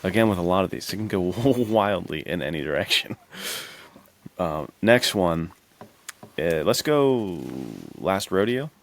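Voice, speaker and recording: A man's voice: sits low, animated, measured pace. A man co-hosting a podcast, chatting casually about movies in a slangy, enthusiastic way. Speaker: podcast co-host.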